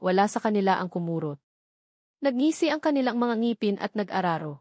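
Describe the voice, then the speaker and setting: A woman narrates fiction from a script in a formal manner. She delivers it in measured, separate phrases at a middling pitch. The sound is very dry, with next to no room on it. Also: a little mellow, no room sound. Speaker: audiobook narrator.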